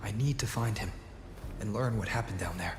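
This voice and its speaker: Male actor as resolute fighter, low voice, serious, restrained, game cutscene, clear music underneath. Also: moderate pace, natural voice. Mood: determined. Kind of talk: video game voice acting.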